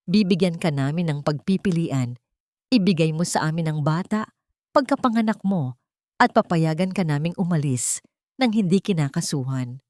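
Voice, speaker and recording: A woman's voice: fairly low pitch, no room sound, clean studio sound, natural voice. Woman narrator as a cold, haughty older mother-in-law. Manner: calm but threatening, contemptuous.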